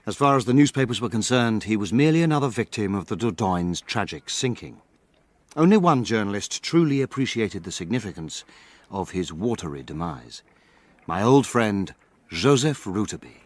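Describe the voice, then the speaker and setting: An actor playing an urbane gentleman who narrates his friend's cases in a radio drama, measured and literary. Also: fairly low pitch, animated, dry recording. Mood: reflective.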